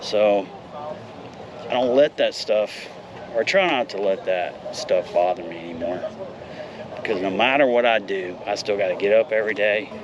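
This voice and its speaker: A man's voice: lowish voice, moderate pace, lo-fi sound, background noise. A man in his fifties talking in a casual, rambling vlog, candid and a little profane. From United States. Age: 50s. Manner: candid, rambling, mild swearing. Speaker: vlogger.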